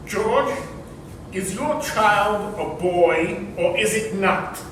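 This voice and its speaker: A man playing a stubborn, stuffy rich uncle who speaks formally in a staged comedy reading. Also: high voice. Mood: affronted. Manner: formal, deliberate phrasing. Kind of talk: staged readers theater comedy. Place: large room or hall, distant microphone. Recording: echoey room from far off, noisy.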